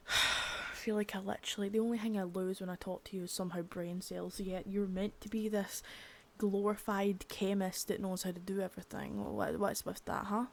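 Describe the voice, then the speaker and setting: Young woman playing a contemptuous female drug-ring boss, quiet menace, cursing, part-whispered; medium pitch. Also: little pitch movement, moderate pace, creaky. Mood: cold contempt. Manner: menacing, swearing, soft with bits of whisper. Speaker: ASMR creator. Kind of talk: unscripted ASMR roleplay.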